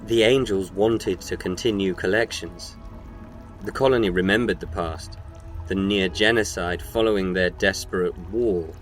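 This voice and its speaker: A man with a very low voice narrates a scripted story. He is solemn and measured, and faint music plays behind him.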